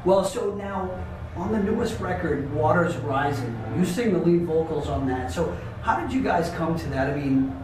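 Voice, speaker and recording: Interviewer: a man with a slightly high voice, eager and friendly, recorded from afar in an echoey, noisy space. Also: lively intonation, moderate pace, few pauses. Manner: friendly, enthusiastic questioner. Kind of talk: casual interview questions. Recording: distant echoey noisy room.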